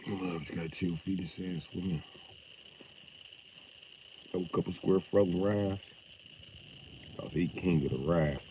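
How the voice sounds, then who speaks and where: A man chatting casually on a livestream, loud and profane, with an animated delivery. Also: low voice, unhurried, pauses often, a little hiss or hum.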